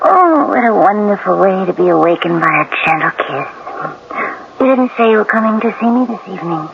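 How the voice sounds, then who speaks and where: A woman's voice: mid-range voice, animated, brisk, creaky. An actress playing a needy, emotional woman in love, melodramatic and pleading, in a 1970s radio drama. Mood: tender, waking. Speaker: actor. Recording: muffled, noisy old radio recording.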